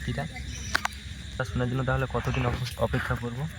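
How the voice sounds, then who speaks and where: A man's voice: medium pitch, level tone, normal speed. Young man coaxing over heavy background noise. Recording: clear background noise, ambient hiss and chirping.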